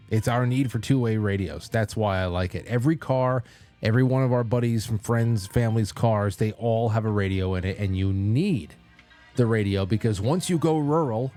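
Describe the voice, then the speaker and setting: Low voiced guy host just rambling casually on his show, bit of music behind. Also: warm tone. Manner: informal, rambling, lightly profane. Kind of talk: casual solo livestream chat with ad read.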